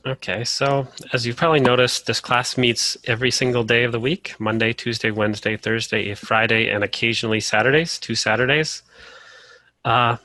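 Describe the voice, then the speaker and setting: A man from Colorado teaches over a video call in an easygoing way, often pausing on "uh." His voice is bright and forward. The sound is close and dry, with almost no room in it. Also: medium pitch, no echo, rough recording. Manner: relaxed, frequent "uh" fillers. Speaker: course instructor. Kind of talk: casual online class lecture.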